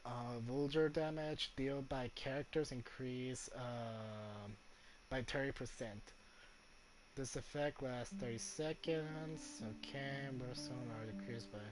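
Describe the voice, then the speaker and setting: A man giving casual gameplay commentary as a streamer, haltingly reading game text and thinking out loud. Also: medium pitch, a little hiss or hum. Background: game music.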